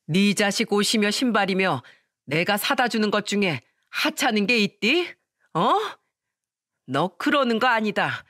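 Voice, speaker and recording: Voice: mid-range voice, no echo, studio quality. Storyteller doing an old mother-in-law scolding hard, dragging out the words. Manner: sharp rebuking questions. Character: stern elderly mother-in-law, hurt and scolding.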